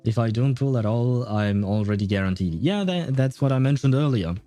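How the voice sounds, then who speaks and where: A man livestreaming a video game, chatting casually with viewers in a rambling, halting way. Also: mid-range voice, expressive, dark tone, soft background music. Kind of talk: casual livestream chat and commentary.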